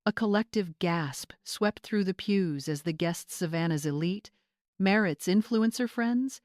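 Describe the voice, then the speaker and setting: A woman with a slightly low voice narrates dramatic fiction. Her delivery is measured and suspenseful, and the recording is dry and close. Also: darkish tone, no echo. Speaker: story narrator.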